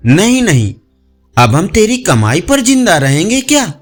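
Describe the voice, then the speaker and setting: A man's voice: high voice, very animated, measured pace, faint music behind, heavily acted. A man voicing a proud, affectionate older village father in an audio story, plain-spoken and fatherly. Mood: indignant.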